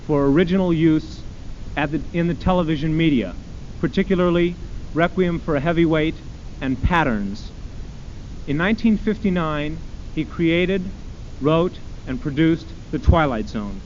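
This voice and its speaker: A man's voice: on the high side, a little mellow. Man reading a formal introduction. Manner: formal, ceremonious. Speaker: event host introducing the speaker. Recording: dull lo-fi archival tape with faint hiss.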